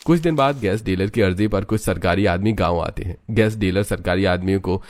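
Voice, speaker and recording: A man narrates a story from a script. His voice sits on the low side, and he delivers it as a storyteller recounting events. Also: brisk, a little mellow, no room sound.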